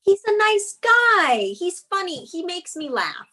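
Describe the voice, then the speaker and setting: A woman's voice: very high-pitched, very animated, slow, pushed and bright, heavily acted. A woman playing a hesitant, loyal young girlfriend in a comedy script table read. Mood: defensive.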